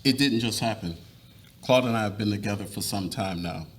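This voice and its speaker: A man's voice: fairly low pitch, fairly quick, natural voice. A man playing an earnest middle-aged husband and father in a live dramatic reading, with an explanatory, confessional way of talking. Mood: confessing, earnest. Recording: live reading with room noise.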